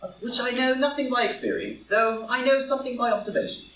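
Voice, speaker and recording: A man playing a witty, idle English lord and loyal friend in a live reading of a stage comedy, speaking haltingly with pauses. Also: extremely high pitch, pushed and bright. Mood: hesitant, tactful. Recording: roomy, distant, noisy live group recording.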